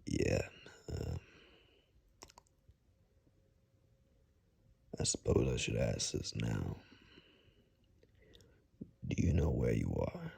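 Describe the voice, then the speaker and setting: Man playing a very husky, low whispering forest guide. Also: sing-song, full character voice. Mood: hushed, urgent. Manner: hushed, halting, gently reassuring. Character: cautious male forest dweller guiding a lost stranger to safety. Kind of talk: whispered ASMR roleplay.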